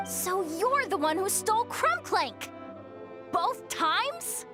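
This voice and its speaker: Game fighter girl: bold, feisty, punchy young heroine, very high voice, animated swings. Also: slow, full character voice. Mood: accusing. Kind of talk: anime-style video game voice acting. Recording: loud game music behind.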